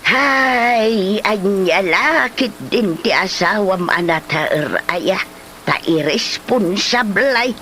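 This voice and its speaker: An actress as an older Filipino mother, fretting and sympathetic. She speaks slowly in a sighing, motherly manner, in a comedy drama with faint noise behind her. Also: medium pitch, lively intonation, bright, forceful tone. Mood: concerned, pitying.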